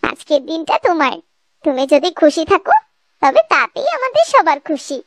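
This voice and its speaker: A woman's voice: high voice, sing-song, moderate pace, no room sound. A woman voicing a dutiful, earnest young woman of the household in a cartoon family drama. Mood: eager, caring. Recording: loud music behind the voice. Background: music.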